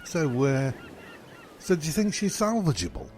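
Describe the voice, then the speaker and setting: A man's voice: fairly high pitch, wildly swooping pitch, normal speed, darkish tone, slight background noise. A man playing an exasperated, foul-mouthed castaway in a comedy audio drama, speaking bluntly. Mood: curious.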